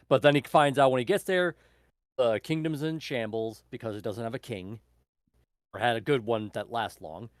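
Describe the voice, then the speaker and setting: Male book club member, mid pitch, close dry sound, meandering casual delivery. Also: moderate pace, no room sound. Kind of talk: casual chat, recommending books.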